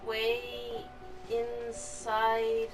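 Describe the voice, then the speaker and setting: A woman's voice: a little high, monotone, drawn-out words, recorded from a distance, music in the background. A woman streamer reacting to a game with brief, laid-back remarks. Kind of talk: casual reaction chat.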